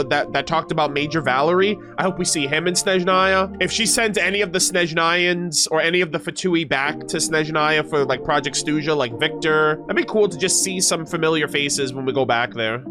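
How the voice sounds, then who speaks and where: A young woman giving casual, excitable, slangy commentary to a chat as a game streamer. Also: low voice. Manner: excitable, slangy, full of likes. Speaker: streamer reacting to game voice lines.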